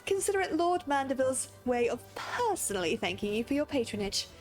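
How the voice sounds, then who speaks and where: Courteous attendant voiced by a woman, ceremonious and polite, lifted pitch. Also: very animated, brisk, faint music behind. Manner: polite, ceremonious read-aloud. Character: courteous, formal attendant greeting guests.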